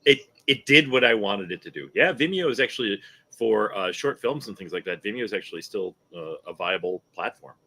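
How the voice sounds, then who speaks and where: A man's voice: on the low side, lively intonation, a little fast, creaky, sharp tone. A man chatting casually as a guest on a panel.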